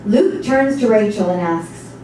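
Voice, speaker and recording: A woman's voice: mid-range voice, expressive, a little slow, mellow. A woman narrating a literary text in a dramatic stage reading. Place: small indoor theatre stage before an audience. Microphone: distant camera microphone. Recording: echoey hall, distant microphone, faint noise.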